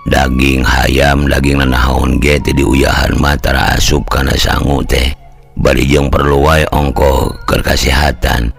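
A man with a Sundanese accent voicing a gruff, opinionated older village elder who explains things in an audio folk story. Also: a bass voice, level tone, unhurried, a bit bright, soft background music, voice pushed down, exaggerated voice. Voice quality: gravelly.

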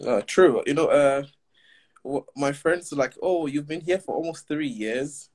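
A man with a Nigerian accent in his thirties hosts over a webcam call from a living room. His voice is slightly husky. He speaks earnestly and chimes in often. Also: fairly high pitch, unhurried. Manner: earnest, backchannels with "yeah" and "true". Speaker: podcast host. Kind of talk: casual video-call interview. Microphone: video call webcam.

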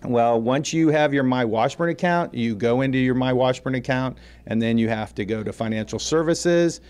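Middle-aged American man, mid-pitched voice. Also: moderate pace, a little mellow, smooth. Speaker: school counselor being interviewed. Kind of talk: informal explanatory interview. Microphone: handheld interview microphone.

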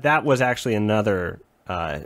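A man's voice: lowish voice, expressive, normal speed, fairly bright tone, dry recording. A man hosting a comedy podcast, chatting casually and playfully with profanity and bits of silly improvised singing. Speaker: podcast host.

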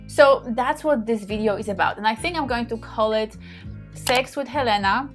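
Polish lady in her 30s chatting to camera in her bedroom, laughs a lot, soft music behind. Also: on the high side, moderate pace. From Poland. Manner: warm, open, laughs often, gestures while talking. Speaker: sex therapist, channel host. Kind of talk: casual to-camera talk. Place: small bedroom, indoors.

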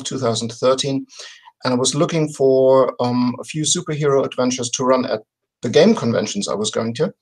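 Measured man on a video call. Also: medium pitch, low-quality recording. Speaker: podcast guest. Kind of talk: casual explanatory storytelling. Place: home room with bookshelves. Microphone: video call, webcam distance.

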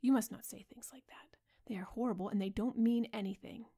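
A woman reading the part of an older male painter, speaking gravely and earnestly as he pleads with his friend. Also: medium pitch, steady tone, normal speed, mellow. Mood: shocked.

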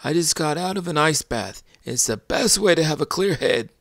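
Man doing a creaky, whimsical animal puppet. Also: on the high side, expressive, moderate pace, fairly bright tone, dry recording, studio quality, pitched above the performer's own voice. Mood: self-assured. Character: whimsical, confident animal puppet sidekick with a tail. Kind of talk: children's puppet show banter.

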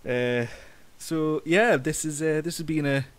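A man hosting a podcast interview in an informal, halting way, putting questions to his guest. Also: a little high, no echo. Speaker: podcast host. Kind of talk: casual interview, reading fan questions.

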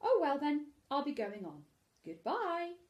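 A woman's voice: high-pitched, wildly swooping pitch, unhurried, a little mellow, voice pushed up, exaggerated voice. A woman voicing a cheerful, greedy male teddy bear from a children's storybook, bouncy and eager. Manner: sing-song, eager, bouncy.

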